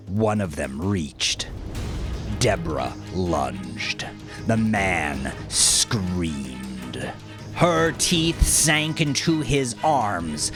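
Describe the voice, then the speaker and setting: A man narrating dark, violent fiction in an intense, theatrical manner that swings between hushed and forceful. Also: mid-range voice.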